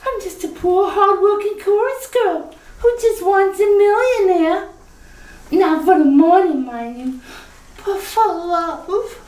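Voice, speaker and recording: A woman acts out a melodramatic young chorus girl in a retro radio play, using a very high voice and drawn-out, slow phrasing. She wails and uses slang in a theatrical way. The room sounds echoey. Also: a little mellow, far from the mic. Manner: theatrical, sobbing and wailing, tough-talk slang. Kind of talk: amateur retro radio drama acting.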